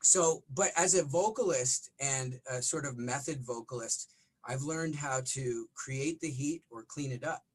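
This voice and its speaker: A middle-aged man, a rock vocalist, talking in a casual interview with a smoky, raspy voice and lots of restarts. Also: mid-range voice, unhurried, poor audio. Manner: rambling, frequent restarts and fillers.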